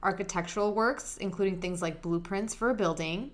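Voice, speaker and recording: A woman's voice: mid-range voice, brisk. A young adult woman hosting a podcast, explaining legal topics step by step in a friendly, direct way with a soothing voice. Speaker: podcast host, lawyer.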